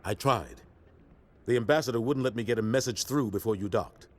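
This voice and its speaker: An actor playing a loyal, resolute older military captain in a video game, earnest and authoritative. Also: male voice, mid-range voice, a little fast, natural voice. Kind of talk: acted game cutscene dialogue.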